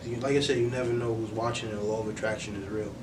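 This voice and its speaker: A man's voice: little pitch movement, rapid-fire, distant mic. A young man answering as a music industry guest in a casual interview, earnest and reflective, circling back over his phrases. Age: young adult. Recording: roomy, off-mic, noisy with low rumble.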